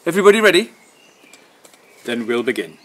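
A man, reading a picture book expressively to young children with deliberate pauses. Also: fairly high pitch, sing-song, pushed and bright, a little hiss or hum. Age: 60s. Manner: deliberate, pausing between phrases. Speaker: children's storytime reader. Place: outdoors in a garden by wooden fencing.

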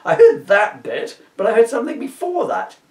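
Man as an earnest superhero, lively pitch. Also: normal speed, exaggerated voice. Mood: puzzled. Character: earnest, dutiful young male superhero, mildly exasperated. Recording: roomy, distant camera microphone.

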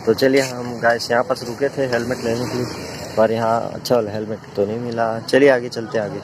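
A man's voice: medium pitch, level tone, brisk. A young man, chatting casually to viewers while riding a motorbike outdoors. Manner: chatty, pointing things out to viewers. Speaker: vlogger. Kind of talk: casual ride commentary. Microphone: camera mic on a riding bike. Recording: loud wind and engine noise. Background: wind rush and traffic.

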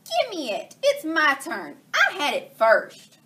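A woman's voice: high voice, huge pitch swings, slow pace, heavy background noise, pitched above the performer's own voice, exaggerated voice. A woman voicing squabbling young piglets with a whiny, bickering manner. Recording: distant from the mic.

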